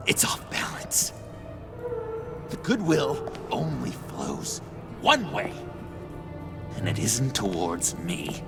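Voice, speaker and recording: An actor playing an unstable, nervous young man in a video game, stammering and halting, paranoid and quick to swing into outbursts. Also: mid-range voice, vocal fry, exaggerated voice. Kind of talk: dramatic video game acting.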